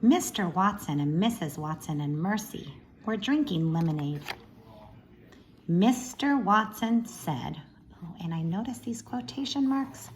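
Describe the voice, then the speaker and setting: A woman reading a storybook aloud to young children like a teacher, expressive, with friendly asides to her listeners. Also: on the low side, a little slow, a little hiss or hum.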